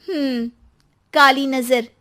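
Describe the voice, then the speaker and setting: A woman voicing an earnest, trusting young married woman in a family story, in an expressive manner. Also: sits high, slow pace, pitched above the performer's own voice, full character voice. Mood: resigned.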